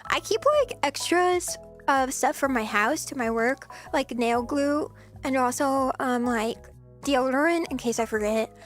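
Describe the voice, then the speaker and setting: A young woman streamer chatting casually with viewers in a soft, giggly voice, her throat a little scratchy. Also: sits high, unhurried, soft background music. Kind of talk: casual chat.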